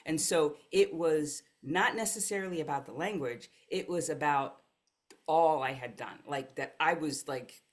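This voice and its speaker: A woman's voice: sits low, measured pace. An American woman, a life coach, telling her story as a podcast guest in an earnest, reflective way. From United States. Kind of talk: podcast conversation.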